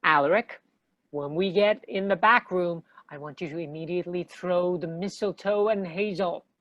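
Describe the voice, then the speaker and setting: A woman's voice: on the low side, moderate pace, no room sound, lo-fi sound, natural voice. A woman playing a bossy, decisive demon hunter in a comedy table read, with a commanding, dry manner. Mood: brisk, commanding.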